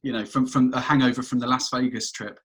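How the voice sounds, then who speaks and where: A man hosting a podcast, asking halting questions and affirming with repeated "sure, sure". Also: mid-range voice, level tone, fairly bright tone, slightly rough. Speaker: podcast host. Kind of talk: interviewing.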